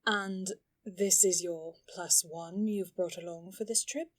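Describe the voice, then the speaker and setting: A woman playing a middle-aged elf noblewoman and mother in a fantasy audio drama, measured, composed and dryly teasing. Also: lowish voice, steady tone. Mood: gracious, teasing.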